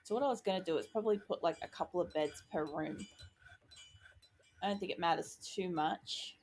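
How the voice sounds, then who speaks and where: A woman playing a video game and chatting as she works it out, excited by each small success, her voice going croaky. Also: fairly low pitch, brisk, a little hiss or hum. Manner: chatty, thinking aloud, easily excited. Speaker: gaming YouTuber. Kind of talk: casual let's-play commentary.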